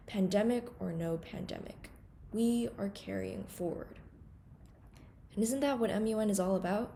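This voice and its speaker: A teenage girl from Hong Kong gives a formal opening speech, earnest and measured. Her voice is mellow and dark with a gravelly edge, and a faint hiss of noise sits under it. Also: mid-range voice. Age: teens. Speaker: conference undersecretary general giving a welcome speech. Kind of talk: prepared ceremonial speech.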